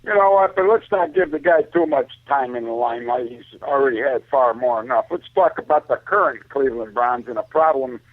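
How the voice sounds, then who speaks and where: A man's voice: medium pitch, fairly quick, few pauses, no room sound. A man giving opinionated sports talk over a narrow phone line, speaking emphatically and often addressing his listener as "man". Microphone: telephone.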